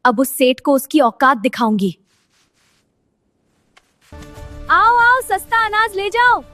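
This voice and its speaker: Here is a woman acting as a brave, quick-witted village girl from a moral tale. Her voice is high and youthful, respectful yet firmly determined, with soft music underneath. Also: very animated, no room sound, heavily acted. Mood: spirited. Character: brave, clever young village farmer's daughter. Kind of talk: dramatized moral story dialogue.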